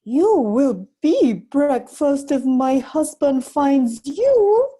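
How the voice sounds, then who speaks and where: Lady doing a bossy giant wife from a storybook, really high and swoopy, scolding. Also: sing-song, drawn-out words, mellow, exaggerated voice. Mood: warning.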